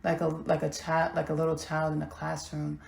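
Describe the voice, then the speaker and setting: A woman from Texas, hosting a podcast and delivering a slow, halting spiritual monologue with long pauses. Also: low voice, completely flat delivery, creaky, darkish tone, roomy sound. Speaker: podcast host.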